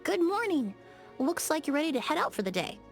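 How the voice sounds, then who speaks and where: Poised, high-voiced young perfumer. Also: female voice, very animated, creaky, faint music behind, heavily acted. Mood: gentle. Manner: measured, articulate, politely blunt. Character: poised, precise, polite young woman perfumer. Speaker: game character voice actor. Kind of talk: scripted video game dialogue.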